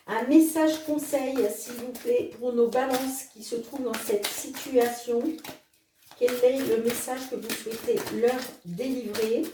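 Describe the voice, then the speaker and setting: A woman's voice: on the high side, far from the mic, background noise. Chatty woman reading cards in a roomy space. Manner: chatty, fills with "hein" and "les amis"; reads card messages slowly. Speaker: tarot card reader. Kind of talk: casual card reading to viewers.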